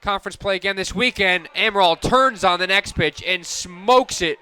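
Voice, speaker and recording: A man calling softball play-by-play for radio, narrating each pitch and play in crisp descriptive detail. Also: normal speed. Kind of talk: live softball radio commentary.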